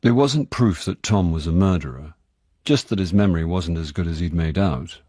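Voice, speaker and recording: A rueful boozy english sleuth, low voice, wry radio drama narrator. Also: male voice, expressive, normal speed, mellow, dry recording, studio quality. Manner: wry, self-deprecating.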